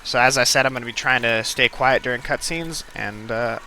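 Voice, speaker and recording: Very bright-voiced man commentating on gameplay. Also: medium pitch, steady tone, brisk, slight background noise, low-quality recording. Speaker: let's play host.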